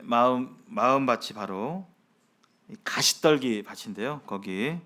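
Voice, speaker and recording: Man, pastor, sermon, medium pitch, measured delivery, frequent fillers, rhetorical questions. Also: fairly bright tone.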